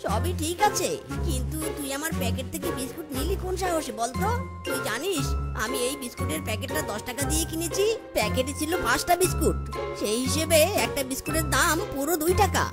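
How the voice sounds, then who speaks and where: A woman's voice: sits high, quick pace, over loud music, close to an everyday voice. A woman voicing a stingy, quarrelsome male fox moneylender in a comedy cartoon, scolding and blustering. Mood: nagging.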